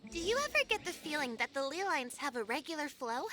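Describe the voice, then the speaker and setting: A woman's voice: high-pitched, very animated, brisk, faint music behind, full character voice. A woman voicing a thoughtful, composed young woman scholar in an anime-style video game. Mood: composed, musing. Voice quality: bright, youthful.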